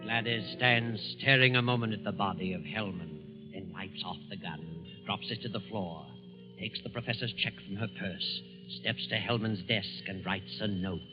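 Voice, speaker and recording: An actor as the grave narrator of a 1940s radio thriller, reading scripted narration in a dramatic, ominous way. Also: male voice, on the low side, normal speed, close to an everyday voice. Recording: dull lo-fi 1940s radio broadcast. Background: loud dramatic music.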